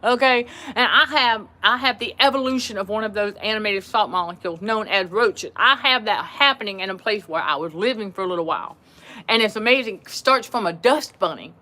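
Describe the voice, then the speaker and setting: Bright-voiced woman talking to her viewers. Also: medium pitch, fairly quick. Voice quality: voice that carries. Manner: animated, repeats phrases, laughs often, addresses viewers as y'all. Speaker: livestream host. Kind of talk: casual livestream talk to viewers.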